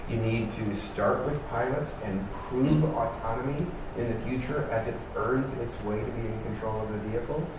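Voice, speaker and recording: A man on a panel explaining his points in a measured way. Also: medium pitch, distant mic. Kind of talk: panel discussion. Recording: echoey hall from afar, noisy.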